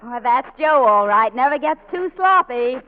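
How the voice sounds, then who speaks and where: An actress playing a brash, streetwise nightclub dame who wisecracks in slang, in 1940s radio comedy style. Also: female voice, sits high, expressive, normal speed, slight background noise. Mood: sardonic. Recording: tinny, band-limited 1940s radio recording.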